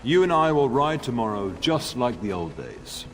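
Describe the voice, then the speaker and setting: A man's voice: mid-range voice, big pitch swings, normal speed, close to an everyday voice. An actor playing a middle-aged nobleman and father in a fantasy game, speaking in a stately, assured, fatherly way. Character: grey-haired noble father, warm, authoritative lord. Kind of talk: scripted fantasy game dialogue.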